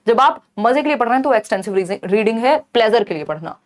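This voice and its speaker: A young woman teaching an exam-prep lesson, explaining in Hindi mixed with English terms and reading each question aloud slowly. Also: on the high side, lively intonation.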